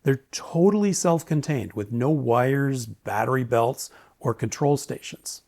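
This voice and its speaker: A man's voice: mid-range voice, unhurried, vocal fry, a little mellow. A man giving a casual, opinionated, lightly joking product review. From Canada. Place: indoors, home study.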